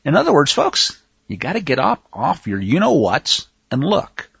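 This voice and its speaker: A man's voice: a little high, wildly swooping pitch, moderate pace, gravelly, a bit bright, slightly rough, no echo, highs cut off. A man teaching from the Bible in a folksy, emphatic way, with sarcastic asides and frequent restarts. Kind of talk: folksy scripture commentary.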